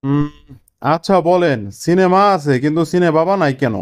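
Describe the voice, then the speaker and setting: A man's voice: fairly high pitch, normal speed, smooth, no echo, close to an everyday voice. A man voicing a cartoon boss who puts terse trick questions to an applicant in colloquial Dhaka-accented Bengali. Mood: testing. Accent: Bangladeshi Bengali, colloquial Dhaka. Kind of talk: comic cartoon dialogue.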